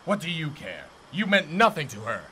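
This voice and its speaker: Guy acting a haughty bitter older greek smith in an audio drama, sharp voice, swings around a lot, bit of noise behind. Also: fairly high pitch, very animated, moderate pace. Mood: angry, accusing. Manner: haughty, scornful, declamatory. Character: bitter, contemptuous older blacksmith, a widower in ancient Greece. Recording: noticeable background noise.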